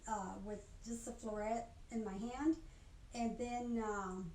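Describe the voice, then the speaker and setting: An older woman with a fairly high voice shows and explains craft work informally. She talks in a chatty, halting way and often rephrases as she goes. The room is clearly echoey, with a little background noise. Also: steady tone, a little mellow. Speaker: craft instructor. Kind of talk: informal product demonstration. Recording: roomy echo, faint background noise.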